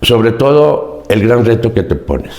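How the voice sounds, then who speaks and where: A man's voice: medium pitch, very animated, a little slow, a little mellow, reverberant. A Mexican man in his seventies, a podcast guest recounting his life in an earnest, emphatic way and often repeating key phrases. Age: 70s. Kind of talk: reflective interview storytelling.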